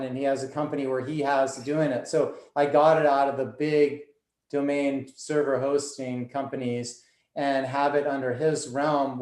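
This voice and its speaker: A man's voice: medium pitch, a little mellow, echoey room. A man as a podcast guest, talking earnestly and loosely, with lots of fillers. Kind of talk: casual conversation.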